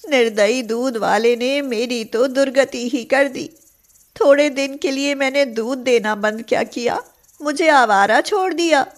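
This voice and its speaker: A woman voicing a long-suffering, devout mother cow in an animated moral story, with plaintive, emotional delivery. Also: sits high, measured pace, voice pushed up. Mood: despairing.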